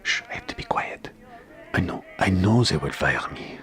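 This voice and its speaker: An actor playing an anxious, secretive boss in an audio drama, stammering and dropping into hushed tones. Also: male voice, deep voice, expressive, normal speed, soft background music. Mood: pleading, hushed. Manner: stammering, flustered, lapsing into hushed whispers. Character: anxious, secretive boss of a janitor, devoted husband. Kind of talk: theatrical audio drama phone monologue.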